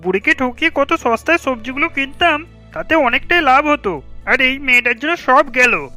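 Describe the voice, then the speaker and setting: A scheming village trader from a cartoon, with a very bright, piercing edge and a high pitch. He is sly and nosy, full of jealous insinuation. Faint music plays underneath. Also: expressive, normal speed, heavily acted. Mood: resentful scheming. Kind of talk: cartoon character acting.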